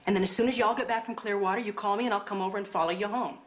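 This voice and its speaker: A woman's voice: medium pitch, steady tone, very fast, close to an everyday voice. Actress as an anxious mother, slightly muffled. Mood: worried, conciliatory. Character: anxious, protective, insistent mother. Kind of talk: naturalistic TV drama acting. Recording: dull sound, faint background noise.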